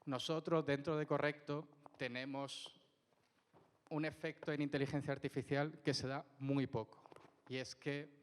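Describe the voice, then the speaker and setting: A man's voice: a little high, little pitch movement, normal speed. A man giving a technical conference talk, questioning the audience and checking in with "¿vale?" as he explains. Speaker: technical speaker. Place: conference hall. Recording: reverberant hall.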